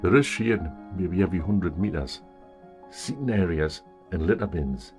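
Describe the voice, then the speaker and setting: Low-voiced older man vlogging outdoors. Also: lively intonation, moderate pace, darkish tone, soft background music. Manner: chatty, wry asides. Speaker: travel vlogger. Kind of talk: casual travel vlog narration. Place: outdoors on a long pier.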